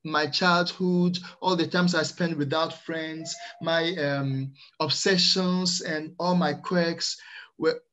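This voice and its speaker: Higher-voiced man, measured and hesitant. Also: steady tone, fairly bright tone, dull audio. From Ghana, the Netherlands and France. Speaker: interview guest, early years teacher. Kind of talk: personal storytelling in an interview. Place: small plain room indoors, on a video call. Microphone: computer microphone on a video call.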